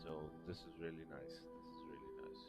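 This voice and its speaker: A man with a low voice gives casual commentary as he plays. He tends to repeat short phrases, and game music plays clearly behind him. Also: steady tone, fairly quick. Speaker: gaming video host.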